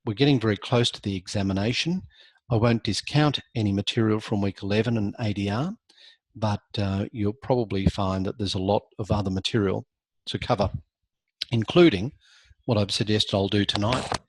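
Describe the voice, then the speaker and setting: Hoarse, husky male law lecturer. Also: on the low side, dry recording. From Australia. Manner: measured, with frequent ums and self-corrections. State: hoarse, losing his voice. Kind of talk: online lecture walkthrough.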